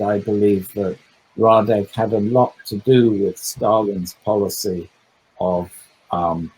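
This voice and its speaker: A man with a fairly low, husky voice answers questions as a visiting historian. He talks in a thoughtful, digressive way and stops often to find his words. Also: darkish tone, low-quality recording. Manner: thoughtful, digressive, with frequent hesitations. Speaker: historian guest. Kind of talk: conversational book talk.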